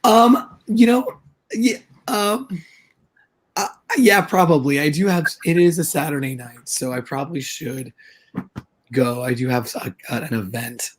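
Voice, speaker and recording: A male interview guest with a hoarse, husky voice, worn from a lot of talking. He speaks in an easygoing, friendly way, with plenty of fillers. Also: on the high side, unhurried. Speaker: guest, comic book creator. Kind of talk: casual interview chat.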